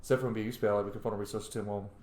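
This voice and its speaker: A man's voice: on the low side, fairly even intonation, very fast, a little mellow. Chatty male gamer in an echoey room. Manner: jokey, slangy, chatty. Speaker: video game streamer and role-player. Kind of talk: casual playthrough commentary. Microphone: microphone.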